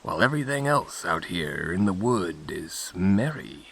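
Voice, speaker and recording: A man voicing a sly, hungry wolf in a storybook, speaking in a hushed, crafty, coaxing way. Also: low-pitched, big pitch swings, moderate pace, vocal fry, slight background noise, heavily acted. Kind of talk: storybook character voice.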